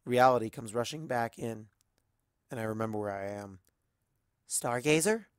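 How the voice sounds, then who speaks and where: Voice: medium pitch, steady tone, moderate pace, smooth, dry recording, clean studio sound. An amateur narrator reading a story expressively from a script.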